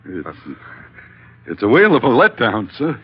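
An actor playing a plain-spoken, awkward ship's chief mate with a husky voice, in 1940s radio style. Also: male voice, mid-range voice, huge pitch swings, normal speed, heavily acted. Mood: awkward, respectful. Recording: muffled old radio recording.

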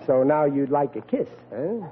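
A narrow-band vintage radio recording of a blustery, soft-hearted American father. His voice runs high and he speaks emotionally, in old sitcom style. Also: sing-song, normal speed, slight background noise. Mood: coaxing, sulky. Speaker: sitcom lead actor. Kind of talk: 1940s radio comedy acting.

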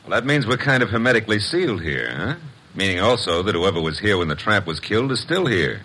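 An actor playing a streetwise, skeptical detective's assistant in a classic radio drama, slangy and sardonic with a husky voice. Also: male voice, fairly low pitch, very animated, fast talker, sharp tone, heavily acted, rough recording. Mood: matter-of-fact, puzzled.

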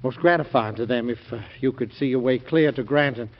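A man doing a flustered stammering colonel, halting speech, old radio play, dull recording. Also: mid-range voice, close to an everyday voice. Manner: stammering, hemming and hawing. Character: nervous stammering male colonel, delegation spokesman.

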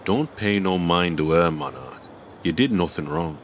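A man's voice: low-pitched, animated, normal speed, a little hiss or hum. A man playing a stern, haughty, loyal attendant to a monarch in a fantasy audio drama, speaking in a pompous, curt way. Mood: loyal, dismissive.

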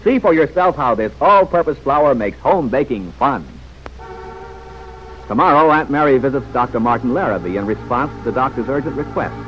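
Man, 1940s radio announcer, serial narrator and pitchman, expressive intonation, polished, persuasive, dull vintage recording with faint noise. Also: moderate pace. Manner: polished, persuasive, folksy direct address.

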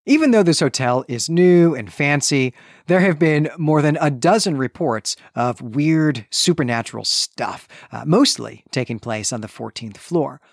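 A man's voice: a little high, no room sound, clean studio sound. A man co-hosting a podcast, recapping and discussing fiction in a discursive, conversational way with frequent qualifications. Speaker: podcast co-host. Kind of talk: book discussion and story recap.

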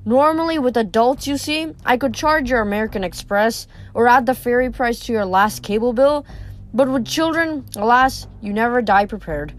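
Woman doing a dry greedy old ferryman of the dead, haggling, kinda high voice. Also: normal speed, close to an everyday voice.

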